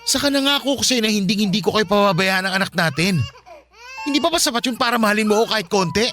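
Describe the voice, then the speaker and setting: A man's voice: high-pitched, expressive, fast, bright, forceful tone, faint music behind. A man with a Filipino accent playing an aggrieved, pleading young father in a radio drama, insistent and emotional. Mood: tearful pleading.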